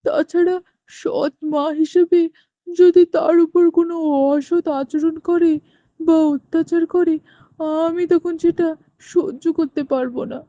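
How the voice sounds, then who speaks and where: A woman's voice: very high-pitched, fairly even intonation, measured pace, mellow, no room sound. Woman voicing a worried poor farmer father. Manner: pleading, worried, sighing. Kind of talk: cartoon voice acting. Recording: dull lo-fi dry dubbing.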